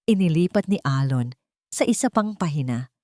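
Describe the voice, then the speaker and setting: A woman's voice: low voice, lively intonation, normal speed, dark tone, no room sound, clean studio sound. A woman with a Filipino accent narrating a scripted dramatic story.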